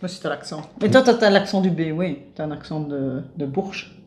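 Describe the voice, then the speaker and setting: A woman's voice: low voice, lively intonation, brisk, darkish tone, reverberant. A woman with a Namur Belgian French accent, a family vlogger chatting playfully and laughing often. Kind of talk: casual chat to camera.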